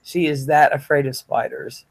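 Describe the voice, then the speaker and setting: A woman's voice: deep voice, steady tone, moderate pace. A woman hosting a livestream in casual chat, chatty and laughing, with many fillers and free swearing. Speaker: livestream host.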